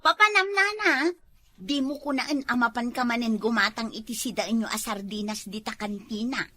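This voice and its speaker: Lady acting as nagging ilocano village wife, high bright voice, dry sound, comedy drama. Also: moderate pace, no room sound. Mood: gossipy, nagging. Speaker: actor in comedy skit.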